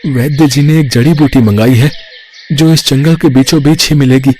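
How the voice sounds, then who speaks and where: A man's voice: medium pitch, steady tone, normal speed, warm tone, a little hiss or hum, natural voice. A man voicing a poor, hardworking street vendor, an earnest and plaintive family man in a Hindi moral-story cartoon. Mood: earnest, pleading. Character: poor, hardworking pani puri vendor, worried earnest husband and father.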